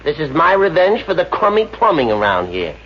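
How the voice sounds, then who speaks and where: A cocky, mock-dramatic little pest, tight-fisted and cheeky. His voice is fairly high and very animated, in vintage radio comedy style. The sound is dull and slightly noisy. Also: brisk, heavily acted. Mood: cheeky, smug. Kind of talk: 1950s radio comedy acting. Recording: muffled, noisy old radio recording.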